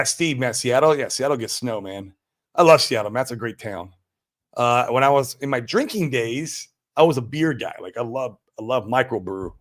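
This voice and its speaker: An American man hosting a livestream, chatting casually and answering viewers in a slangy, exclamatory way. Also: mid-range voice. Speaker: livestream host. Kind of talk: casual chat, answering viewer comments live.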